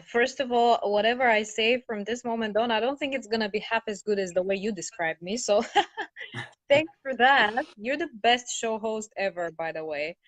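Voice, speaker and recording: A woman's voice: fairly high pitch, normal speed, fairly bright tone, dry recording. A woman from Bulgaria, telling her story as an interview guest in a candid, sardonic way, swearing freely. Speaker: interview guest, brand strategist.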